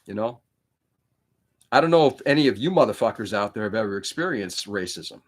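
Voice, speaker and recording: A man's voice: on the low side, animated, brisk. A man whose first language is Greek, hosting a casual livestream from a room on his laptop, rambling and blunt with frequent swearing. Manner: rambling, profane, opinionated. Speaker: livestream host building model kits. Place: indoor room.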